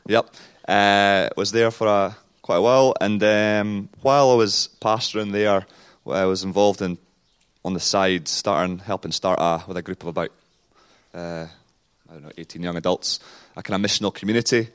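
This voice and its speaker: A man's voice: lowish voice, steady tone, moderate pace, clean tone. A man in his late thirties, from Scotland, with a New Zealand accent and Scottish traces, chatting casually as an interview guest in a church service with a rambling, self-deprecating manner.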